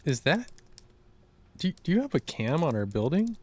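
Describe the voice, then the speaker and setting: A man's voice: fairly high pitch, sing-song, normal speed, darkish tone. A man playing a wary, earnest newcomer in an audio drama, questioning and defensive. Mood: surprised, wary.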